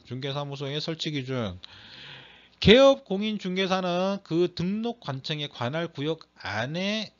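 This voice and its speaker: A man's voice: a little high, fairly bright tone. A man lecturing for an exam, reading out questions and explaining answers, stressing and repeating key phrases in a slightly strained voice. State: slightly strained voice from throat trouble. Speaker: exam lecturer teaching real estate broker law. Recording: dull, muffled lo-fi audio.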